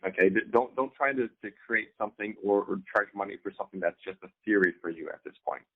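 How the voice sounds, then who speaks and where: A man co-hosting an informal instructional talk as a business coach, speaking haltingly with repeated words and frequent "right?" check-ins. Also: on the low side. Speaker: co-host, online business coach. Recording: thin, phone-like band-limited sound.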